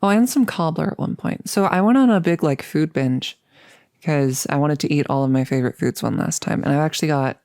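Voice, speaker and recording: A woman's voice: deep voice, brisk, a little mellow, no echo, studio quality. A woman playing a nervous but resolute girlfriend in an intimate ASMR audio roleplay, speaking in a hesitant, rambling, earnest way. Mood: chatty, wistful. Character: nervous, resolute woman about to become a vampire.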